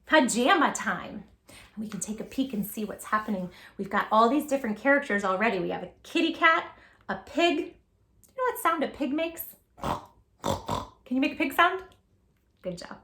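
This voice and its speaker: A woman in her forties reading a picture book aloud at home, sing-song and animated, asking the listener questions. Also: a little high, moderate pace, pauses often, echoey room. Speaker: children's book reader. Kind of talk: read-aloud with tips for parents. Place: home room with posters.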